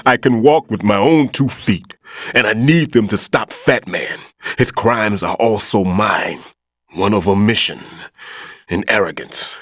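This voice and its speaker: A man playing a grave, remorseful middle-aged bomb disposal veteran in a video game cutscene, speaking in a solemn, deliberate way. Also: on the low side, fairly bright tone, no echo, clean studio sound. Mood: anguished, remorseful. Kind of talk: dramatic game cutscene acting. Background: background music.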